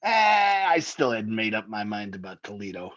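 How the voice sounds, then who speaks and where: A man playing a gruff, slangy, grudging skeptic in a 1950s radio comedy style. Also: lowish voice, sing-song, normal speed, a bit bright, muffled recording. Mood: sheepish. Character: gruff, skeptical grown man who doubts Santa.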